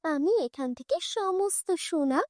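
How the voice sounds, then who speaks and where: A woman's voice: sits high, measured pace, darkish tone, no echo, clean studio sound. A woman voicing a jealous, greedy young flower seller in a cartoon fairy tale, grasping and indignant.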